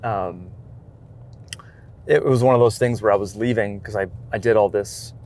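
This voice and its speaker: A man chatting casually and informally as a documentary photographer on the road, in a loose, halting way. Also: fairly low pitch, darkish tone. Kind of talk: casual vlog chat while out shooting.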